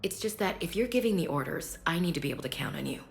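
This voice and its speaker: A woman's voice: lowish voice, expressive, quick pace, vocal fry, a little hiss or hum. A woman playing a guarded, frank soldier with a wry streak in a video game.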